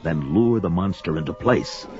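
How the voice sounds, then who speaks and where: A proud, brawny barbarian in an audio drama. His low voice heaves dramatically through archaic, bombastic lines. The sound is dull, with music swelling behind him. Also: expressive, normal speed. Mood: battle-strained, fierce. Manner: archaic, bombastic speech with oaths. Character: brawny barbarian warrior, gruff, proud, grudgingly loyal. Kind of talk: melodramatic audio drama, self-narrating the action. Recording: dull lo-fi recording with loud music bed.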